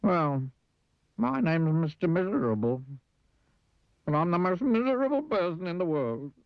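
A man voicing a gloomy, self-pitying little storybook character with a sad, squeaky, whining voice. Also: big pitch swings, unhurried, dry recording, highs cut off, exaggerated voice. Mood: miserable.

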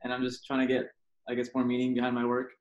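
A man's voice: medium pitch, completely flat delivery, fast, a little mellow, highs cut off. A man from Oregon, a portrait painter, introducing himself plainly on a video call. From Oregon, USA. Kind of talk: casual self-introduction.

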